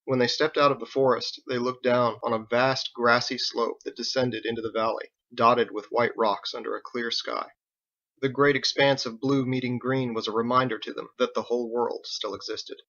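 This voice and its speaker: A man's voice: medium pitch, flat delivery, moderate pace, pushed and bright, lo-fi sound. A man reading scripted audiobook narration as the story's narrator. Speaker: author narrating his own story.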